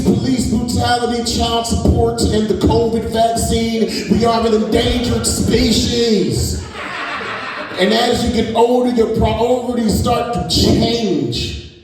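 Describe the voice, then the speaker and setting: A man's voice: high voice, background noise. A man in his forties doing a crude, brash stand-up set with the crowd. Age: 40s. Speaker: stand-up comedian. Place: comedy venue stage. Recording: echoey hall, mic off at a distance, loud crowd. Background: audience laughter.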